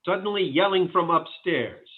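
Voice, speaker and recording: A man's voice: high-pitched, lively intonation, moderate pace, husky. A man reading stage directions as scripted narration for a table read. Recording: tinny phone-like call line.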